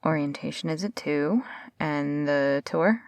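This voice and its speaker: A woman's voice: sits low, unhurried, darkish tone, no echo. A woman playing a sarcastic, brusque but friendly workaholic researcher in a sci-fi audio roleplay, with a casual, wry delivery. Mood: wry, amused.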